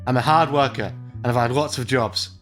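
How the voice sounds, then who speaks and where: A man hosts a let's play, reading story text aloud and breaking off into irreverent, sweary commentary. His voice sits at an average male pitch, with guitar music playing behind it. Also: fairly quick, a bit bright. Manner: irreverent, sweary, mocking asides. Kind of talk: joking commentary and read-aloud of a visual novel.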